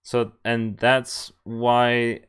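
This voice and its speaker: A man's voice: mid-range voice, completely flat delivery, very slow, a bit bright, clear, resonant tone. A man streaming a visual novel, thinking aloud about choices and reading the story text in first person. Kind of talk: live game commentary and reading text aloud.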